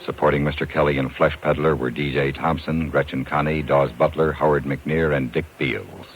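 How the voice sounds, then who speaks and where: A male announcer speaking ominously and deliberately in a dramatic 1950s radio style. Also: very deep voice, fairly even intonation, a little hiss or hum, poor audio. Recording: vintage radio recording.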